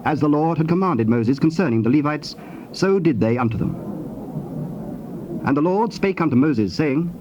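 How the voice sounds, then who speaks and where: A man narrating dramatized scripture in a formal, measured manner. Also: on the high side, expressive, a little mellow. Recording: dull, noisy cassette tape.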